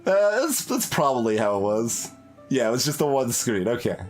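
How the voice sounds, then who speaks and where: A man's voice: mid-range voice, very animated, normal speed, a little mellow, soft background music. A man giving casual, rambling playthrough commentary as a video game streamer, musing aloud as he goes.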